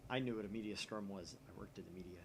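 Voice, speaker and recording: A man's voice: lowish voice, racing, vocal fry, noisy background. A man from the United States hosting a casual let's play, reading a visual novel aloud with jokey, opinionated asides.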